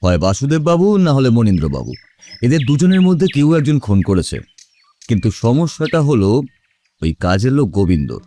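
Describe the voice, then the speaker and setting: An actor playing a thoughtful detective who reasons in a deliberative, questioning way in audio drama dialogue. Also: male voice, mid-range voice, very animated, normal speed, mellow, close to an everyday voice. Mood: pondering. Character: male detective thoughtfully reasoning out a murder case.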